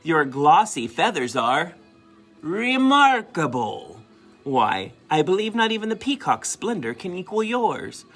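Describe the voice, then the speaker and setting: A man voicing a sly male fox who flatters in a honeyed, drawn-out way for a children's storybook. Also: a little high, faint music behind, pitched above the performer's own voice, heavily acted. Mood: flattering.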